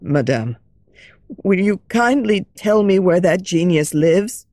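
A troubled young nobleman speaking low and holding his feelings in check, as a woman narrator lowers her voice for him. The pitch sits low and the pace is slow, with a dry, close sound. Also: lively intonation, darkish tone, dry recording. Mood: anxious, restrained. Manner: low, restrained. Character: young nobleman, anxious and earnest.

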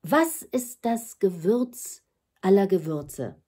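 A woman reading a fairy tale as a curious, polite young prince, measured and inquiring. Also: medium pitch, animated, mellow, breathy edge. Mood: curious, earnest.

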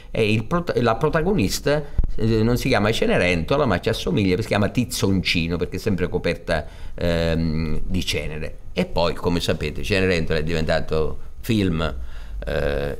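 A man, explaining a story like an informal lecturer, pausing to search for words. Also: fairly low pitch. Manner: halting, searching for words, explanatory with emphatic swings. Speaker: theatre director presenting a radio fairy-tale programme. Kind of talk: informal spoken introduction to a fairy tale, storytelling lecture. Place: indoors on a theatre stage.

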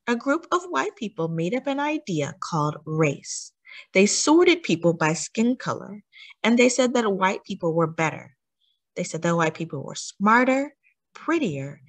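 Woman reading aloud, lively swooping pitch. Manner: deliberate, teacherly emphasis with questions to young listeners. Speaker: children's story time host reading a picture book aloud. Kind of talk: read-aloud storytelling for children, with asides and questions.

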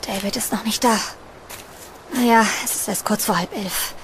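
A woman's voice: medium pitch, normal speed, slight background noise, natural voice. A woman playing an anxious young student trapped in a haunted house in a horror audio drama. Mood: anxious waiting.

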